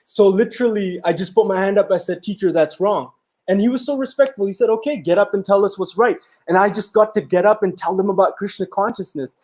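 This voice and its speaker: A man with a notably high voice, speaking as an interview guest. He tells his stories playfully, jokes around and laughs through his lines. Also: mellow. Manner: playful joker, laughs while talking. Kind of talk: casual storytelling chat.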